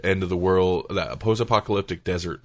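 A man's voice: low voice, brisk. A man who hosts a podcast, chatting casually about video games. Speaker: podcast host.

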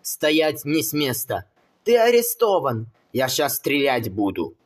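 A man's voice: medium pitch, huge pitch swings, slow. A man doing amateur cartoon voice acting, with theatrical exclamations.

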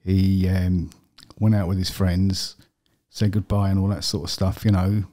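Low, mellow man with a London accent. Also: unhurried. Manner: plain, steady storytelling with fillers. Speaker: guest. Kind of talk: personal interview. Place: podcast studio with brick wall. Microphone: studio desk mic with headphones.

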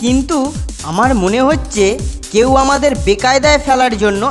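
Lady doing a high boyish cartoon kid, crafty and knowing, music behind it. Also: normal speed, lightly acted. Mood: plotting. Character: shrewd, plotting young schoolboy prankster at boarding school.

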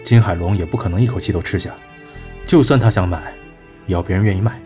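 An actor playing a shrewd male business partner with a brisk, wry and joking manner. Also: low-pitched, dark tone, soft background music, lightly acted. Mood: scheming. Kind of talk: amateur audio drama acting.

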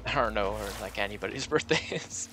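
A man's voice: on the low side, brisk, music in the background. A man in casual game chat with friends, guiding them along with a wry, sarcastic manner. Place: voice chat over a video game.

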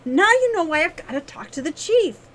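A woman's voice: very high-pitched, sing-song, normal speed, pitched above the performer's own voice. A woman voicing an eager, stubborn young girl with a playful, pleading manner in a storybook tale. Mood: earnest, insistent. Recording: clearly noisy background.

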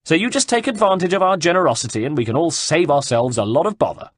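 A man voicing a mocking Italian nobleman villain in an audiobook, taunting and projecting loudly. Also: mid-range voice, sing-song, unhurried, husky, dry recording, clean studio sound, voice pushed up, heavily acted. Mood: threatening. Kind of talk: audiobook character dialogue.

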